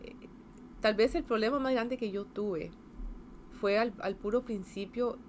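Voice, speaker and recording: A woman's voice: mid-range voice, measured pace, a little mellow, a little hiss or hum. A woman in her fifties with a Costa Rican accent, answering interview questions in a casual, hesitant way, with many fillers, restarts and tag questions. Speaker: engineer interviewee.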